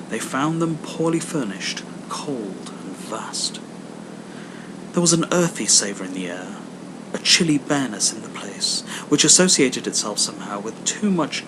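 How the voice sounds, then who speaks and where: A man with a mid-pitched voice narrates a storybook. He phrases it deliberately and with dramatic expression. The recording has clear noise and a low rumble behind him. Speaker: amateur audiobook reader.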